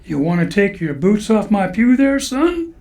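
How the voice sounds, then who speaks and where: A man's voice: high-pitched, very animated, unhurried, darkish tone, full character voice. An actor playing a stern, folksy older churchman in a Western radio drama. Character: stern balding old churchman, gruff but devoted caretaker.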